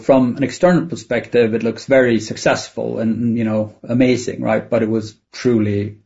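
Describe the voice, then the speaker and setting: This is a Swedish man with a Swedish accent and a raspy, fry-laden voice that stays fairly flat. He speaks as a non-native speaker, answering an interviewer in a reflective, candid and conversational style. The sound is slightly dull. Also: mid-range voice, normal speed. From Sweden. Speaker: tech entrepreneur interviewed as a guest.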